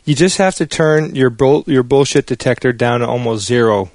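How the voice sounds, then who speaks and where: A blunt, sardonic man on a casual podcast panel, with a mid-pitched voice and no-nonsense delivery. The sound is close and dry. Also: normal speed, darkish tone, dry recording.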